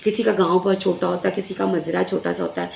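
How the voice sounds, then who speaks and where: A middle-aged woman teacher with a hoarse, recovering throat, talking earnestly to fellow teachers into a phone camera, pausing often with fillers. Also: fairly low pitch, fairly even intonation, darkish tone, a little hiss or hum. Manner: earnest, frequent filler pauses. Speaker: primary school teacher. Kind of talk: casual explanatory talk to fellow teachers. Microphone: phone camera in selfie mode. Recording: echoey room.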